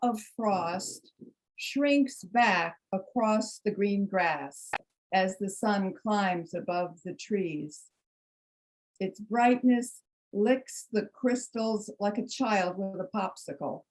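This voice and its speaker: A woman reading poetry and introducing it, with measured, deliberate phrasing. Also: medium pitch, poor audio. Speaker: congregation member reading poetry.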